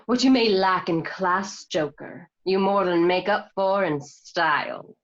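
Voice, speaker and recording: A woman's voice: fairly low pitch, a little slow, natural voice. An actress playing a sly, purring female cat burglar who speaks in a conspiratorial manner. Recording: dull home recording.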